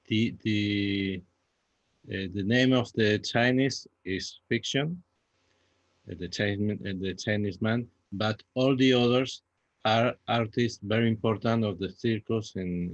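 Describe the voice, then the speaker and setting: A man with a Spanish accent answering panel questions in English as a second language, halting and repeating himself as he searches for words. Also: on the low side, fairly even intonation. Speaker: playwright guest. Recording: dull lo-fi, echoey room sound.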